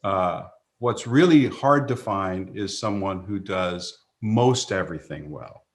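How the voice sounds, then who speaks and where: A man's voice: deep voice, animated, takes it slowly. A man speaking as a panelist on a video call, folksy and rambling, with many restarts.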